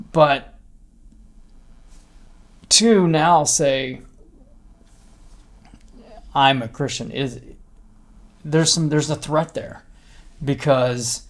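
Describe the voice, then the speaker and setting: A man talking reflectively in a podcast conversation, haltingly, as if thinking out loud. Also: medium pitch, creaky.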